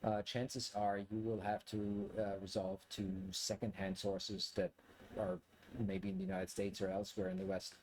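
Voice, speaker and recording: A man from Germany, a native German speaker, giving a casual, reflective monologue to viewers in a rambling, halting way as he thinks aloud. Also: fairly low pitch, completely flat delivery, a little mellow, low-quality recording. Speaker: video creator, commentator.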